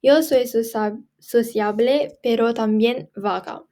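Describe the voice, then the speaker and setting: A teenage girl from Korea, speaking English, talks through a lesson as a student tutor. Her voice sits a little high, and she takes her time, leaning on repeats and fillers to stress her points. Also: measured pace, mellow. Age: teens. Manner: chatty, repeats herself for emphasis, many fillers. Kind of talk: casual recorded lesson.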